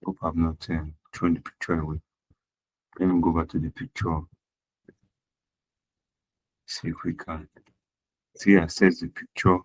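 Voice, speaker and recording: A man's voice: low-pitched, flat delivery, unhurried, long pauses, breathy edge, poor audio. A man teaching accounting in an online class, repeating his points and asking the class rhetorical questions. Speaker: accounting lecturer. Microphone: online class audio.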